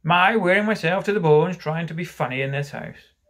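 A man's voice: on the high side, sing-song, a little slow, pushed and bright, husky, full character voice. A man voicing a pompous, blustering, self-pitying father in a dramatised storybook reading, theatrical and exclamatory. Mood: bitter, self-pitying.

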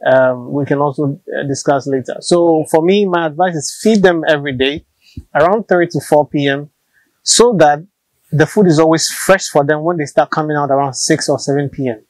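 A man's voice: fairly high pitch, normal speed, no echo, low-quality recording. Ghanaian man explaining snail farming. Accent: Ghanaian English. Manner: patient, practical, repeats words while explaining. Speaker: snail farmer. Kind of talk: explaining farm practice in an interview. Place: open-sided farm shelter outdoors. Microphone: lapel mic.

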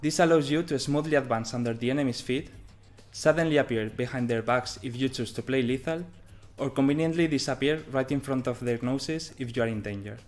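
A man's voice: medium pitch, a little fast. A man in his thirties giving a scripted presentation as a game developer. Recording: music behind the voice. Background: background music.

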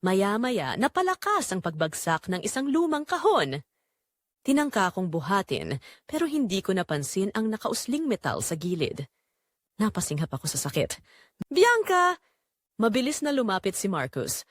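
Expressive woman narrating a dramatic story. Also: mid-range voice, moderate pace, dry recording, studio quality. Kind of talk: scripted first-person dramatic story narration.

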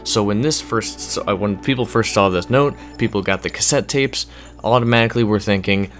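A man's voice: on the low side, fairly quick, a bit bright. A man giving informal, conversational gaming news commentary as a YouTuber, explaining things with emphatic bursts. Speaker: video game news YouTuber. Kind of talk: casual gaming news commentary over gameplay. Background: background music under the voice-over.

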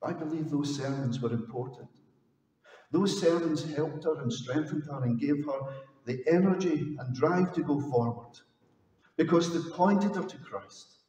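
Older male minister, measured sermon, long reverb from a distant-sounding church hall. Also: a little high, mellow. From Scotland. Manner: slow, solemn preaching with measured pauses. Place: large stone church. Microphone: headset mic. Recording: echoey church hall from afar.

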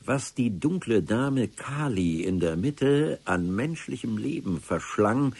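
A man's voice: mid-range voice, lively intonation, slow, creaky, darkish tone, slightly rough. A man narrating a radio adventure serial in the first person, with a dry, ironic storytelling manner. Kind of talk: scripted radio-play narration. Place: radio drama studio.

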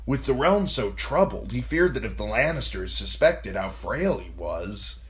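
A man's voice: medium pitch, sing-song, a little slow, voice pushed up. A man voicing an earnest, troubled lordly brother in an audiobook, with a grave and emphatic manner. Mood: urgent, defensive. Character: grown lordly younger brother, earnest, troubled by his father's decline.

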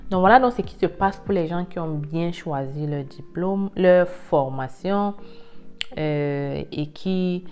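A woman's voice: lowish voice, takes it slowly, a little mellow, smooth, faint music behind. A woman in her thirties hosting an informal explainer video, talking directly and emphatically and repeating key words for stress. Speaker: video host and immigration coach. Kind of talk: informal explainer talk to camera. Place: indoor room at home. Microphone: lapel mic.

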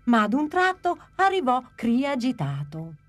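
A woman narrating a children's cartoon story in a gentle storytelling manner. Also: high-pitched, huge pitch swings, moderate pace, faint music behind.

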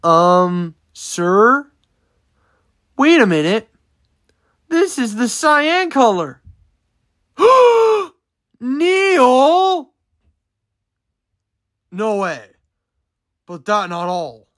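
Woman as a very slow, dramatic cartoon character. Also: fairly high pitch, sing-song, a bit bright, exaggerated voice. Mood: alarmed. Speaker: amateur voice actor. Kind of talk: performed character voice lines.

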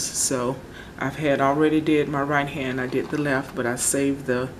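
A woman casually talking through a home nail tutorial, relaxed and chatty, often searching for words. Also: extremely low pitch, gravelly, background noise. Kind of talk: casual how-to narration. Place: home table, indoors.

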